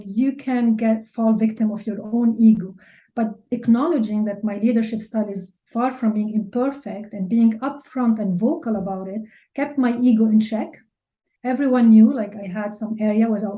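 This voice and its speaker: A woman's voice: fairly high pitch, narrow, tinny audio. A woman from Lebanon with a Lebanese accent, answering interview questions candidly and informally, often saying "like". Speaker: interview guest, business leader and mentor.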